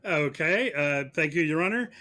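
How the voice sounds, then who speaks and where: A man playing prosecutor in a mock trial, arguing in a sarcastic, halting, conversational way. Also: a little high, lively intonation, bright, forceful tone. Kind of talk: mock courtroom argument, conversational.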